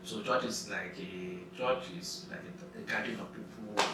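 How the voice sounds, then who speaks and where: A man's voice: on the low side, normal speed, creaky. A young man, a guest in a casual discussion, explaining his opinion step by step and defining his terms. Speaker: guest on a youth discussion show. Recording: echoey room, distant mic, faint noise.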